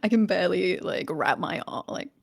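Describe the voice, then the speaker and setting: A woman's voice: lowish voice, moderate pace, creaky, dry recording. Woman playing tabletop, close dry sound. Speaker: tabletop game player. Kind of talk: casual in-game roleplay chat.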